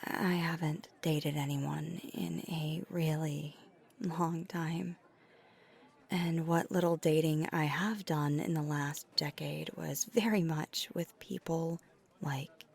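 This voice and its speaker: A woman plays a tender female vampire opening up to a date in an intimate ASMR roleplay. The voice is lowish, breathy and creaky, often dropping to a whisper. The delivery is earnest and gentle, with hesitant pauses. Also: full character voice.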